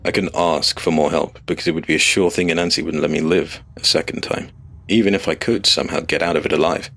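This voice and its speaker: A man's voice: very deep voice, fairly even intonation, fast talker, gravelly, a bit bright. A man reading a scripted first-person fantasy story as its narrator.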